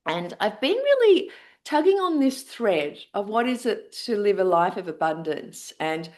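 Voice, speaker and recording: A woman's voice: medium pitch, very animated, a little slow, darkish tone. A woman from Australia with an Australian accent hosting an interview, effusive and full of fillers and restarts. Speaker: podcast host.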